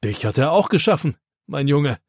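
A man voicing a kind, pious old grandfather on a mountain hike, gentle and instructive, in a dry close recording. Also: fairly high pitch, big pitch swings, normal speed, husky, dry recording, exaggerated voice.

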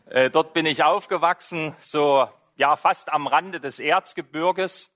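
A man's voice: fairly high pitch, unhurried, a bit bright. Saxon-accented middle-aged male preacher. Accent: Saxon German. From Saxony, Germany. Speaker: missionary preacher. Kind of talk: sermon.

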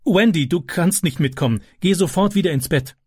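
A man's voice: fairly high pitch, normal speed, a little mellow, slightly rough, dry recording, clean studio sound, voice pushed up. A man voicing a determined mother who gives firm, commanding orders in a crisis. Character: determined, capable mother taking charge.

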